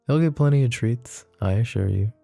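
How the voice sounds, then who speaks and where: A man playing a flirty, possessive mafia boss in an intimate roleplay, speaking sardonically and softly with slow, deliberate pauses. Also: mid-range voice, vocal fry, no echo, soft background music. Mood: smooth, coaxing. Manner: sardonic, soft-spoken, slow pauses, swearing and sighs. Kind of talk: intimate ASMR roleplay spoken to the listener.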